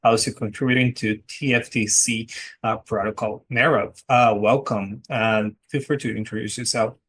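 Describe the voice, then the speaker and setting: A man's voice: lowish voice, fairly even intonation, moderate pace, a bit bright, breathy edge. A man hosting a podcast, introducing his guest in a plain manner with brief fillers. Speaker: podcast host.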